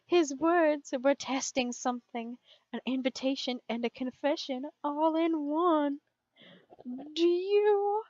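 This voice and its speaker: A woman with a high voice reads a piece of fan fiction aloud. She sounds amused by what she is reading. The recording is close and dry but dull and lo-fi. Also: measured pace, dry recording.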